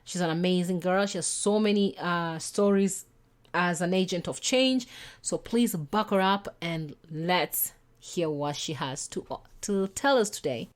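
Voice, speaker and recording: A woman's voice: on the low side, unhurried. A woman hosting a podcast, introducing her guest and asking questions with enthusiasm. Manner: enthusiastic, warmly encouraging interviewer. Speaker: podcast host.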